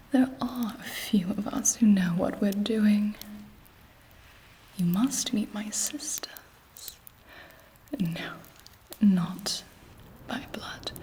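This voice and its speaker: A woman voicing an imperious, scheming vampire queen in a breathy whisper, haughty and seductive, as an ASMR roleplay. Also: mid-range voice, dark tone, lightly acted. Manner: slow, haughty, seductive, measured pauses.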